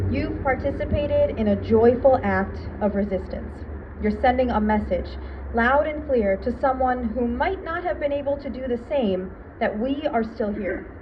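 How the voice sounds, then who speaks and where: A woman hosting a public ceremony, giving an earnest, rousing prepared speech in measured phrases. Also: fairly high pitch. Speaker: event MC. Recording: muffled event recording with background noise.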